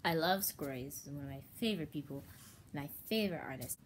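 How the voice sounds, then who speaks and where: A young woman with a fairly low voice talks casually to her viewers. She sounds close to a device in a bedroom, with clear noise in the recording. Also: lively intonation, a little fast. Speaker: comic dub creator. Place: small bedroom. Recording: noisy bedroom webcam audio.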